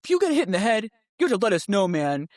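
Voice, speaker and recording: Female co-host, middle-aged, chatty mom who reenacts conversations; medium-pitched voice, dry recording. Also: fast talker, no echo. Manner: animated storytelling, acts out dialogue. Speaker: podcast co-host. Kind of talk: casual chatty parenting podcast talk.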